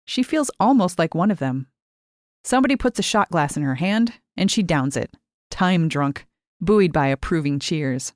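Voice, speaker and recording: A woman with a slightly low voice narrates fiction in a very dry recording. Her reading is expressive and scripted. She phrases deliberately, leaving dramatic pauses. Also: dry recording. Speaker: short fiction reader.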